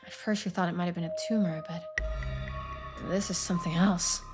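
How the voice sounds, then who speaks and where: A woman's voice: lowish voice, fairly even intonation, fairly quick, music in the background. A woman game streamer reading out a story game and chatting with her viewers during a live playthrough. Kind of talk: live playthrough narration and chat.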